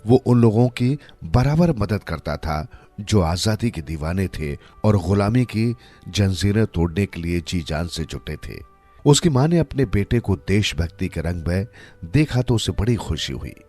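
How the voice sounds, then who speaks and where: A man with a low-pitched voice tells moral stories from a script. His delivery is measured and expressive, with lively swings in intonation, and faint music plays underneath. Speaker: storyteller narrator. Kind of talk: scripted narration of moral tales.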